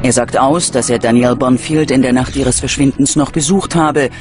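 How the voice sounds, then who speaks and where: A man's voice: medium pitch, normal speed. A man reading scripted narration for a true-crime documentary. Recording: music under the voice. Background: background music.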